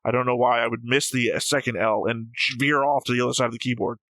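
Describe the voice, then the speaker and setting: Male co-host for casual analytical talk. Crisp-edged bright tone, close dry sound, methodical explainer who argues each point. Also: medium pitch, fairly even intonation, quick pace, no room sound. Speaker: reaction show co-host.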